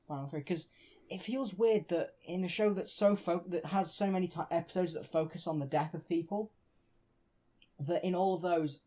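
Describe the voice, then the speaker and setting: A man's voice: fairly high pitch, mellow. A man co-hosting a podcast, casually debating a TV show and often restarting his sentences. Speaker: podcast co-host. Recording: dull lo-fi sound.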